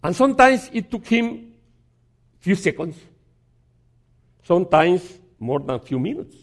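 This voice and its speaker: A man giving a sermon as a guest preacher in a church, telling a story in a halting way with many restarts and some gentle humor. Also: sits high, very animated.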